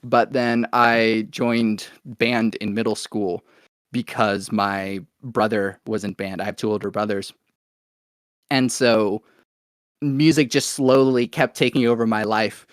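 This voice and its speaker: A man with an American accent telling his own story in a casual, rambling interview style, as if thinking out loud. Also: fairly low pitch, steady tone, takes it slowly, no room sound, clean studio sound. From Oklahoma, United States, born in Venezuela. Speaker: podcast guest, musician and producer.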